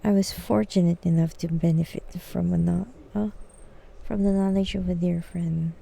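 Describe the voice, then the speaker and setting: A woman's voice: lowish voice, steady tone, moderate pace, vocal fry, mellow, breathy edge. A woman streaming a game live and reading its dialogue aloud.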